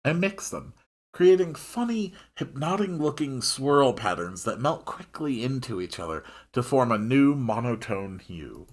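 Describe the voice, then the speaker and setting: A man's voice: medium pitch, big pitch swings, measured pace. A man reading a story aloud expressively, dropping in wry, casual asides of his own. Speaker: host reading a visual novel aloud.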